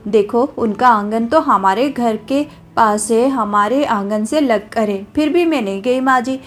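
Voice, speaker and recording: Woman doing a soft, deferential young bride for a storybook cartoon, fairly high voice. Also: a little slow, lightly acted. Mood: polite. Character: young, dutiful newlywed village bride.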